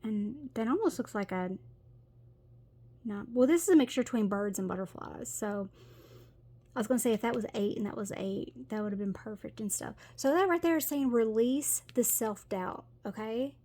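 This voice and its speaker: A woman's voice: on the high side, normal speed, mellow. A middle-aged woman with a Tennessee Southern accent, chatting her way through a tarot reading with lots of fillers and giggles. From Tennessee, USA. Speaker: tarot reader. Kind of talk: casual tarot reading to camera.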